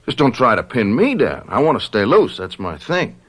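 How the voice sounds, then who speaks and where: An actor playing a callous young hip boyfriend in a radio drama, slangy, flippant and evasive. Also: male voice, mid-range voice, big pitch swings, moderate pace. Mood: breezy, defiant.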